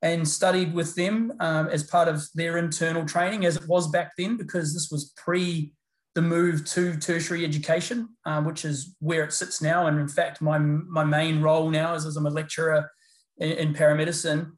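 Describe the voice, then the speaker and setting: A middle-aged man with a New Zealand accent, chatting as an interview guest in a relaxed, rambling way full of fillers and restarts. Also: on the high side, normal speed. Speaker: guest, paramedicine lecturer. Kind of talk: casual interview chat. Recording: roomy echo.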